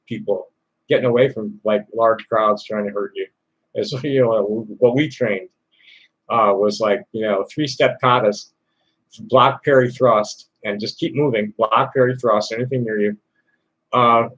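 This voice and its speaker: A man hosting a live call-in stream, rambling in a halting way with many fillers and restarts. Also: medium pitch, fairly bright tone, poor audio. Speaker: livestream host answering chat questions. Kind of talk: rambling casual chat. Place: Tucson, Arizona.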